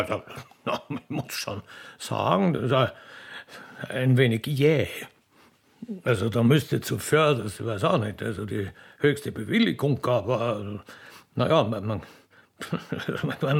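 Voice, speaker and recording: A man's voice: mid-range voice, lively intonation, slow pace, creaky, breathy edge, full character voice. A man playing a vain, dim-witted court official in a comic radio play, haughty and stammering, with an Austrian accent. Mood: dithering.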